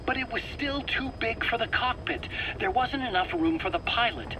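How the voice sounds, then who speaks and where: A man's voice: extremely high pitch, expressive, normal speed. A man playing an anxious, defensive weapons scientist, hesitant and stammering, in a video game drama. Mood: explaining eagerly. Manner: hesitant, stammering, pleading and self-justifying. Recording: clear background noise, interrogation tape.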